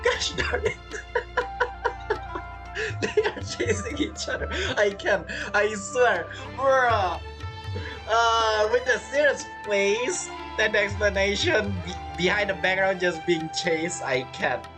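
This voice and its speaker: Playful male streamer with animated pitch. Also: slow pace, over music. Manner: playful, giggly, reactive. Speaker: game streamer. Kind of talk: casual live gameplay commentary. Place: indoors at a streaming setup.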